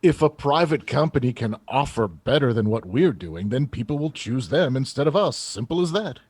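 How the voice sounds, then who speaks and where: A man acting as an assertive chief of staff in an audio drama, with a slightly rough, husky voice. His delivery swings expressively in pitch as he presses each point like a polished politician, in a dry, close recording. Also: expressive, normal speed, darkish tone, dry recording. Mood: persuasive. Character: confident, combative male presidential chief of staff. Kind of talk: radio theater acting, political interview answers.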